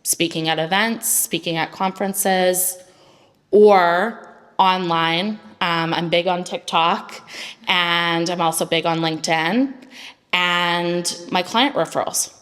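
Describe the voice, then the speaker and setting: A woman's voice: on the low side, fairly even intonation, slow pace, fairly bright tone, big-room sound. A woman founder speaking on a panel in a casual way, often saying "like". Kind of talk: panel discussion talk.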